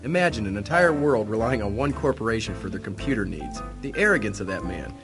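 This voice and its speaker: Casting: male actor as long-winded know-it-all guest, rapid delivery, radio play with music underneath. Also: lowish voice, very animated. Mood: opinionated, scornful. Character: opinionated male party bore, droning about computer monopolies. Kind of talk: audio drama acting.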